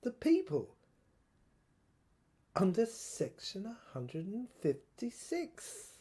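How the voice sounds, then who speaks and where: A woman playing a slow, husky, troubled soldier. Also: medium pitch, wildly swooping pitch, mellow, full character voice. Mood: grave, revelatory. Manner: halting, broken phrases with deliberate emphasis and repetition. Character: troubled infantry soldier piecing together a secret government document. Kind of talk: dramatic first-person monologue, audio drama narration.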